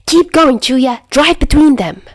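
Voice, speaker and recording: Manic young male mafioso daredevil, played by a woman, audiobook. Brash, excitable, teasing, high and very animated. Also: unhurried, no room sound. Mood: gleeful, urgent. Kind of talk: fan fiction audiobook character voice.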